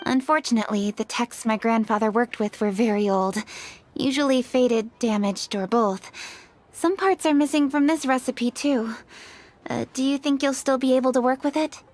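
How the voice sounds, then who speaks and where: An actress voicing a proper, principled young female official who speaks crisply and a little primly. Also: a little high, moderate pace, a little hiss or hum, close to an everyday voice. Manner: crisp, upright, slightly prim. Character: brisk, proper young woman official, principled and a bit flustered.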